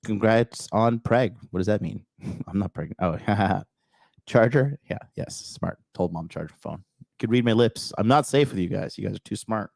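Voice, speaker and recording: A man hosting a livestream, reading an article aloud and answering the chat in a blunt, crude way, with a raspy, slightly nasal voice. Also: lowish voice, moderate pace, a little mellow, no echo. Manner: blunt, crude, talks back to live chat. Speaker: podcast host.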